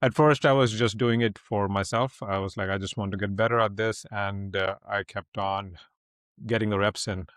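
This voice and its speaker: A man's voice: on the low side, fairly even intonation, moderate pace, fairly bright tone, no room sound, studio quality. A man in his thirties from India with an Indian accent, a public speaking coach talking as a podcast guest in a blunt, rambling way with plenty of fillers. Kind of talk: casual interview storytelling.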